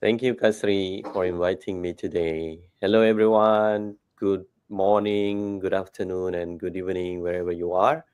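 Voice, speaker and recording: A man's voice: low-pitched, level tone, darkish tone, smooth. A man from Indonesia, a guest speaking politely in a live online talk. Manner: polite, slow and measured.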